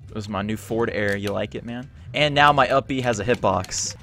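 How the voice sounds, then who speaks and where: A young man hosting a gaming video, teasing his friend with loud, laughing trash talk as they play. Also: fairly low pitch, a little fast. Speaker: gaming channel host. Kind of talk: casual gameplay banter. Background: game music.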